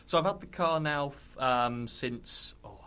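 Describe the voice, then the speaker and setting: Male guest, bright forceful voice, relaxed chatter full of ums. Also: medium pitch, measured pace, clear, resonant tone. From Somerset, England. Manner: chatty, hesitant with frequent ums and laughs. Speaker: guest, YouTuber. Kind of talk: casual podcast interview.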